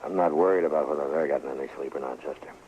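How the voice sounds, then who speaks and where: An actor playing a stern, steady frontier marshal in an old western radio drama, terse and grave with quiet authority. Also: male voice, extremely low pitch, rapid-fire. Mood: weary, wary. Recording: noisy, muffled old radio recording.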